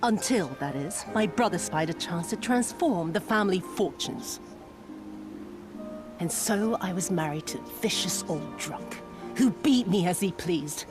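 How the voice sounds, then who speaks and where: Bitter, theatrical villainess over music. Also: female voice, on the low side, expressive. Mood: anguished, furious. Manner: theatrical, deliberate, archaic formal diction. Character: embittered, cruel, theatrical female villain spy, formerly enslaved. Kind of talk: dramatic video game villain monologue. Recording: dramatic music behind the voice.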